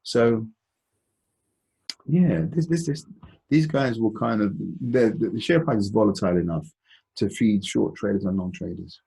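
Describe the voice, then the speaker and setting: A man with a low, gravelly voice gives an informal investment briefing. He explains things conversationally and deliberately, often halting as he goes, in a slightly dark, mellow tone. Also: rough recording. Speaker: investment presenter hosting a conference call. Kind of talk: informal stock analysis talk.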